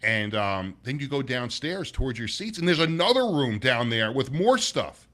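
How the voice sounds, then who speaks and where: A middle-aged man hosting a casual sports talk show, his voice a little raspy, rambling with many restarts and sounding sluggish. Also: medium pitch, sing-song, moderate pace, fairly bright tone. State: sluggish, low energy. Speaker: sports radio host.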